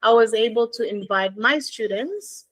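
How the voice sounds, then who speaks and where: A woman's voice: on the high side, a little slow, a bit bright. A woman chef and culinary teacher sincerely sharing a story as a radio guest.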